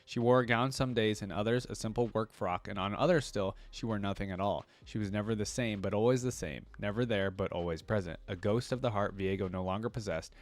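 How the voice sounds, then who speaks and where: A man's voice: fairly low pitch, brisk, hardly any pauses, dry recording. A man hosting a reaction video, reading lore aloud and adding casual commentary.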